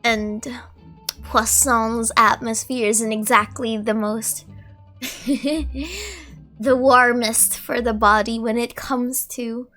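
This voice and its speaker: Unhurried woman streamer with a cold. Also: fairly high pitch, level tone, bright, forceful tone, faint music behind. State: sick with a cold, coughing. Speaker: streamer, gameplay commentator. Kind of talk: casual gameplay commentary.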